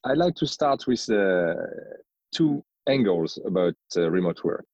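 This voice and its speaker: A thoughtful executive from France speaks careful, slightly non-native English with a strong French accent. His voice sits low, and the remote recording sounds somewhat dull and dry, with little room sound. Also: very animated, unhurried, darkish tone, dry recording. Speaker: guest, company executive. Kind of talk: podcast interview, remote call.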